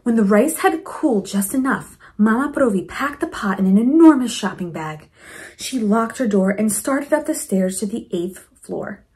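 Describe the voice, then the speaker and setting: A woman reading a storybook aloud to children in an expressive, encouraging way, with teaching asides. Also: on the high side, moderate pace. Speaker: principal reading a picture book to children.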